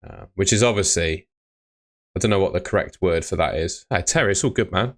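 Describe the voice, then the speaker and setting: Young male streamer, low voice, relaxed and chatty, reading viewer comments. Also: fairly quick. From Bristol, England. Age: young adult. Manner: relaxed, chatty, answers chat questions. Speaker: fantasy football streamer. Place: small room indoors.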